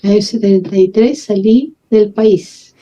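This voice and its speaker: An older woman from Chile with a Chilean accent, telling her life story as an interview guest in a relaxed, wry way. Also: medium pitch, moderate pace. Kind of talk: casual storytelling. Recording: dull lo-fi audio.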